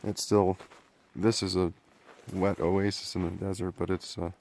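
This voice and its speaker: Hoarse guy w a cold talking low and flat into his camera out in the mountain woods, rambly, lots of uhs. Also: unhurried, slight background noise. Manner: rambling, thinking aloud with fillers and throat clearing. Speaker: outdoor explorer filming a vlog. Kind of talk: casual narration while hiking. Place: remote mountain forest, outdoors.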